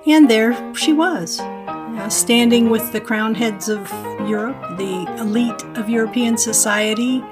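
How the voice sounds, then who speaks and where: A woman's voice: on the high side, unhurried, music in the background. An older woman with an American accent, a historian reflecting aloud as an interview guest.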